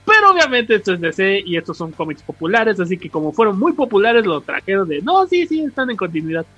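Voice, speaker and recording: Mexican dude w/ high raspy voice rambling on a podcast, kinda dull audio, faint music. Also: male voice, very animated, fast talker, pushed and bright, no room sound. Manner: slangy, rambling explanations acted out with quotes. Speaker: podcast co-host. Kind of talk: casual explanatory chat about comics.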